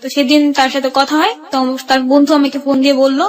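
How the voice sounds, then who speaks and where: A woman's voice: sits high, completely flat delivery, very fast. A woman with a Bangladeshi accent telling her own story conversationally, re-enacting remembered exchanges as dialogue.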